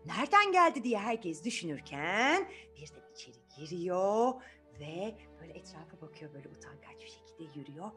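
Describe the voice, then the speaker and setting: A woman telling a story to children in an animated, interactive way, often asking questions and repeating phrases. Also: mid-range voice.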